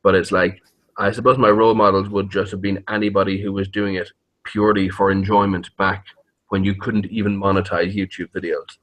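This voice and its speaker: Low-voiced man, rambling and joking. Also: little pitch movement, fairly quick, fairly bright tone, low-quality recording. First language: English. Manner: rambling, hesitant, joking, swears freely. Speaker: interviewed YouTube horror story narrator. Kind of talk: casual interview chat.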